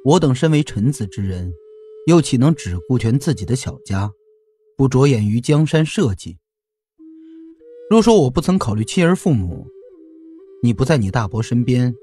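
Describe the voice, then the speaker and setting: A proud, defiant ancient court official, played by a man, hoarse and weary, with a mellow tone and lively swings in pitch. He speaks in solemn, formal rhetoric over music, as in a costume radio drama. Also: normal speed, long pauses, lightly acted. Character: gaunt, proud, defiant male loyalist official on hunger strike. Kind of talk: Chinese costume audio drama acting.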